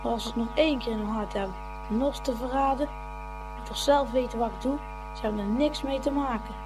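Woman acting sullen young boy, high voice, grumbling, defiant, radio play, music behind. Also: quick pace, lightly acted. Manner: grumbling, indignant. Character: sullen, defiant schoolboy troublemaker, grumbling and quarrelsome.

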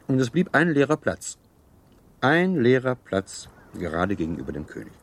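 An actor in a German radio play playing a gallant, teasing seducer who tells stories in a suave, theatrical way. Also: male voice, medium pitch, huge pitch swings, moderate pace, a little hiss or hum. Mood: suave, dramatic storytelling. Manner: theatrical, suave, savouring storytelling.

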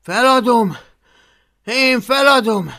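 A man voicing a brash, cheeky young Viking boy who talks in a loud, excited way. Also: very high-pitched, voice pushed up.

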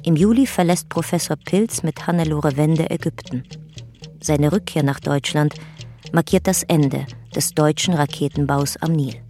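Radio play narrator played by an actress, deep female voice, measured and factual documentary read over soft music. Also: close to an everyday voice. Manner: sober, measured documentary delivery. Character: female narrator of a historical chronicle. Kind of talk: scripted radio drama narration.

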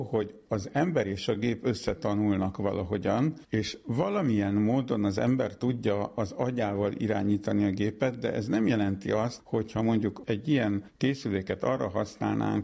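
Gravelly low-voiced man explaining patiently. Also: warm tone. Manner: patient, explains step by step, corrects misconceptions. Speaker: psychologist guest. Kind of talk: explanatory interview answers.

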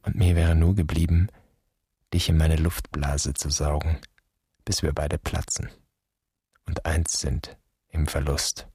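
A wounded son searching for an absent father in a literary radio drama. The delivery is solemn and poetic, in a very low, breathy-rough voice, close and dry. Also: flat delivery, takes it slowly, pauses often, mellow, dry recording, full character voice. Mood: sardonic, resigned. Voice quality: husky.

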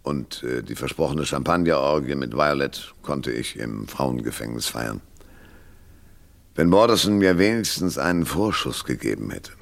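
Very deep-voiced, cynical private eye. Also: male voice, expressive, normal speed, creaky, full character voice. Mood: weary narration. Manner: sardonic, dry, laconic. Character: hard-boiled, world-weary cynical male private detective. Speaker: lead actor and narrator. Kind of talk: 1970s German noir radio drama acting.

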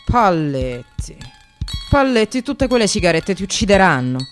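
An actress playing an exasperated, bitterly sarcastic receptionist in a dramatic radio monologue, shifting between muttering and outbursts. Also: female voice, mid-range voice, very animated, normal speed, soft background music, natural voice.

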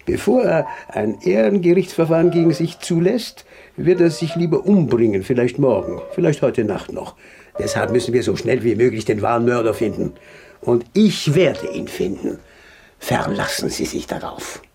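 An actor playing a driven, insistent amateur detective in a period Viennese radio drama. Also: male voice, mid-range voice, animated, normal speed, vocal fry, warm tone. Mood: urgent, determined. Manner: insistent, probing, interrupting.

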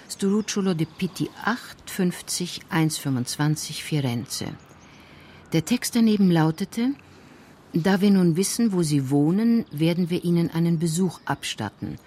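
A woman's voice: on the low side, a little mellow, a little hiss or hum. A woman narrator reading scripted crime-story narration for a radio drama.

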